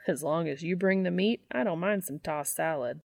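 A woman's voice: fairly low pitch, normal speed, gravelly, no echo, clean studio sound. A woman voicing a brash, flustered young man in an audiobook, blurting and teasing with sarcasm. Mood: mortified. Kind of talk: audiobook character voice.

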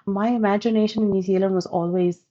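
A woman in her thirties from India with a softened Indian accent, reflecting thoughtfully in an interview, hedging often. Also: medium pitch, fairly even intonation, quick pace, highs cut off. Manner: thoughtful, hedging, with light laughs. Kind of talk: reflective personal storytelling.

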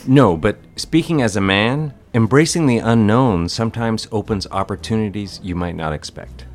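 Man playing a deep-voiced charming young bachelor. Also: moderate pace, close to an everyday voice. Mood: earnest, tender. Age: young adult. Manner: smooth, teasing, courteous. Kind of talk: period romantic audio drama acting.

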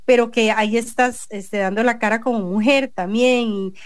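A woman's voice: on the high side, steady tone, moderate pace, no echo, clean studio sound. Earnest Costa Rican woman radio host. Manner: earnest, many restarts and fillers. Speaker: radio show host and journalist. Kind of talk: editorial commentary and interview.

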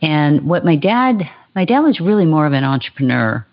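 A woman's voice: deep voice, very animated, moderate pace, highs cut off. A woman from Hawaii, a podcast guest telling stories about her childhood and laughing easily. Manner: warm storytelling, laughs easily. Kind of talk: podcast interview.

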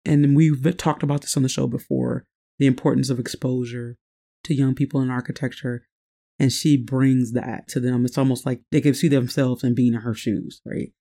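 A man's voice: medium pitch, little pitch movement, normal speed, vocal fry, mellow. A man co-hosting a podcast, introducing a guest in an easygoing, rambling way. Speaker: podcast co-host.

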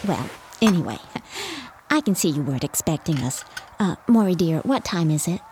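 A woman's voice: lowish voice, expressive, normal speed, dark tone, slight background noise, lightly acted. A woman playing a cheerful, doting mother in her sixties, gushing and chuckly in an audio comedy. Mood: apologetic, polite. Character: cheerful doting mother of sixty-two, newly married. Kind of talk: audio drama comedy acting.

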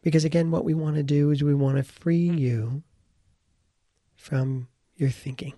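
A man leading a guided meditation as its teacher, speaking in short, deliberate phrases with pauses and a gravelly, husky voice. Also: on the high side, fairly even intonation, dark tone, dry recording, studio quality.

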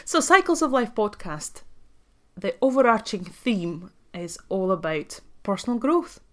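A woman's voice: mid-range voice, sing-song, a little slow. A woman in her thirties from Latvia, a native Russian speaker whose English carries a Latvian accent with a Scottish tinge, hosting a solo podcast in an earnest, chatty way with frequent ums. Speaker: podcast host and life coach.

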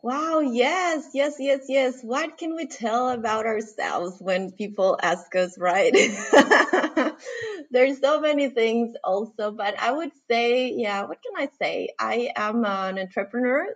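A woman's voice: fairly high pitch, takes it slowly. Middle-aged woman from Costa Rica, podcast guest; eager, rambling, full of fillers. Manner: warm, enthusiastic, many fillers. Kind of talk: casual podcast interview.

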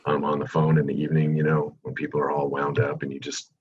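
A middle-aged American man with an extremely deep, calming voice that barely rises or falls. He explains things at length in a measured, unhurried way. The recording sounds slightly dull, with a faint hiss behind it. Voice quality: calming low voice. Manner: calm, measured, long-winded explanations. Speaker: real estate agent, guest. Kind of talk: podcast interview answers.

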